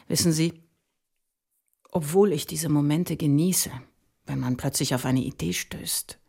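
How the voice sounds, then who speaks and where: An actress playing a dreamy female mathematician and mother, speaking in a measured, reflective way in a radio play. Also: low voice, gravelly, a little mellow, breathy edge, exaggerated voice. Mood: wondering, dreamy.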